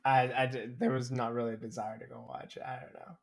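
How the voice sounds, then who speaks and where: Young man, mid-pitched, casual podcast talk. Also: level tone, fast, vocal fry. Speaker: podcast co-host. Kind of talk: casual chat about games and movies.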